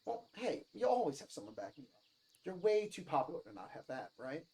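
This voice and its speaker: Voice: mid-range voice, big pitch swings, fast talker, warm tone, slight background noise. A performer voicing a casual, teasing, kind-hearted teenage girl in a visual novel reading. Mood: reassuring. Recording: music behind the voice.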